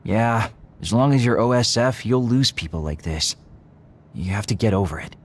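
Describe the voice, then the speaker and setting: A lively, teasing young soldier. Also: male voice, on the low side, moderate pace. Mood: blunt. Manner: playful, breezy. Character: flippant, teasing young male soldier, easygoing joker. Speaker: voice actor in a video game. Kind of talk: dubbed anime-style game acting.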